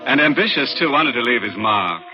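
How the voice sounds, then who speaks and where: A man's voice: mid-range voice, animated, brisk, lightly acted. A man playing a gleeful, ghoulish 1940s radio horror host, sardonic and mock-confiding as he delivers morbid puns. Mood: gleefully morbid. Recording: muffled old radio with music.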